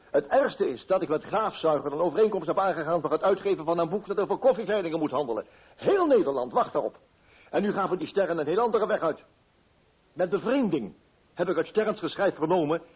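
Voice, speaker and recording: An actor playing a pompous, self-righteous coffee broker in a radio play, fussy and indignant in manner. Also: male voice, high voice, slightly rough. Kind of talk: Dutch radio play acting. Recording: muffled old radio recording.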